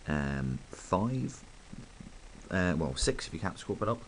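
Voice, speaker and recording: A man with a croaky voice from hay fever, chatting casually and rambling a little as he reviews a toy. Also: sits low, big pitch swings, slow, a little hiss or hum, poor audio. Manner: chatty, rambling, full of ums.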